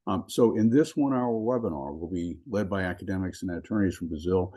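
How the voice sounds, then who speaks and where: A man, a law professor, opening and hosting a webinar over a video call, speaking in a measured way with frequent ums and restarts. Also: sits low, warm tone. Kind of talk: webinar introduction.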